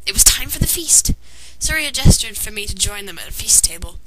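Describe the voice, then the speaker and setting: A woman's voice: medium pitch, expressive, a little fast, a bit bright. A woman reading a fan fiction story aloud as narrator, in a dramatized way. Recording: noisy amateur home recording.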